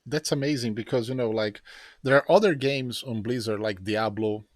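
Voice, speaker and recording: Excitable male host, dry sound. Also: medium pitch, moderate pace, no room sound. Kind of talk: casual interview chat while gaming.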